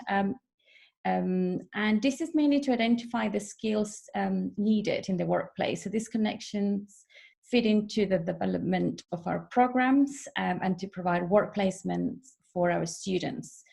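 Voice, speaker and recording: Woman from peru giving a webinar on a video call, mellow voice, lots of ums. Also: medium pitch, gravelly. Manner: measured, frequent um fillers. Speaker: international officer. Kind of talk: online information session presentation. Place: home or office in the evening.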